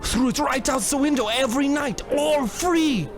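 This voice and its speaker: A man's voice: very high-pitched, normal speed, over music. A man playing a fussy, gossipy hotel clerk in a comic radio play, eager and exasperated.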